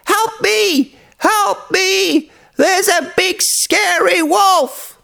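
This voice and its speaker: Very high-pitched naughty little boy. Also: animated, takes it slowly, creaky, fairly bright tone, full character voice. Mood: frantic shouting. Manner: high-pitched, exclaiming, exaggerated childlike delivery. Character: naughty little boy shepherd, mischievous and whiny.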